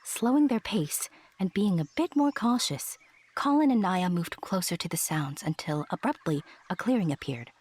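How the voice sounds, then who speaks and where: A woman narrating a scripted fairy tale as a storyteller, gently, with playful asides. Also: mid-range voice, slightly rough.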